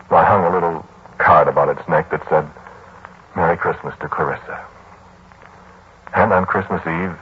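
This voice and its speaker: An actor playing a brooding mathematician lodger, narrating a haunting mystery in 1940s radio drama style with hesitant, ruminative pauses. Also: male voice, a bass voice. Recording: muffled, noisy 1940s radio broadcast.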